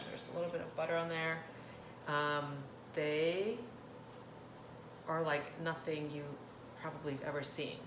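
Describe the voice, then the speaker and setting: A woman from Thailand hosting a casual cooking demonstration, chatting in a rambling way and often interrupting herself. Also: low-pitched. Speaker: cooking channel host. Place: indoor kitchen. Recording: roomy echo, clear kitchen noise, clattering dishes. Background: cutlery and dish clatter.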